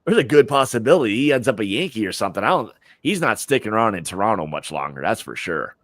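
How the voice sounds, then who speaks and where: A man's voice: on the low side, sing-song, fast, fairly bright tone, no echo, studio quality. An American man hosting a casual sports prediction livestream, talking informally in slangy, opinionated fashion.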